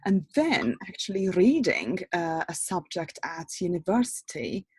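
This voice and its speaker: A woman's voice: lowish voice, sing-song, unhurried, slightly rough, dry recording. A woman hosting a podcast interview, asking encouraging questions. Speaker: podcast host.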